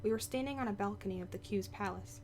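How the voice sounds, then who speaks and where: A woman voicing a young, sharp-tongued woman telling of her past in a visual novel, informal and snappy. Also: mid-range voice, fast talker, slight background noise, natural voice. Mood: reflective. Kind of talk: visual novel voice-over read aloud.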